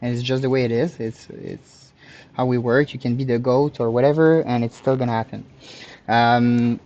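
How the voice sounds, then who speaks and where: A man's voice: mid-range voice, fairly even intonation, moderate pace, darkish tone, highs cut off. A man with a Quebec French accent, a native French speaker, chatting through a live hands-on repair tutorial as the host and technician, often searching for his words. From Canada, French-speaking province.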